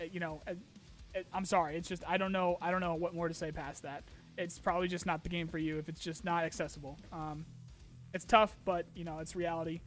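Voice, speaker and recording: A man hosting a casual live-stream Q&A, rambling with many ums and restarts while his voice grows hoarse. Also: high-pitched, a bit bright. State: losing his voice, clearing throat. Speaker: streamer host answering chat questions.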